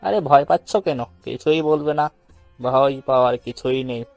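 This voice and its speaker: A man's voice: medium pitch, normal speed, gravelly, muffled recording, soft background music, close to an everyday voice. A man playing a playful, mischievous young man who teases and coaxes in a romantic audio story. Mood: mischievous, reassuring. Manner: coaxing, teasing.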